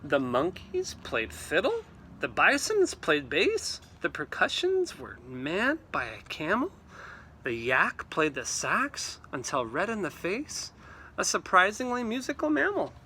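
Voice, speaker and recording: A man reading a storybook aloud to children in an animated, theatrical way, with exclamations and asides. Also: sits high, unhurried, a little hiss or hum. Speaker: read-aloud teacher.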